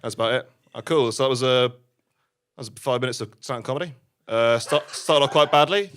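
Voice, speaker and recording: A man's voice: medium pitch, brisk, fairly bright tone, a little hiss or hum, close to an everyday voice. A man playing an awkward stand-up comedian, rambling through a routine in a hesitant, self-deprecating way. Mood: sheepish.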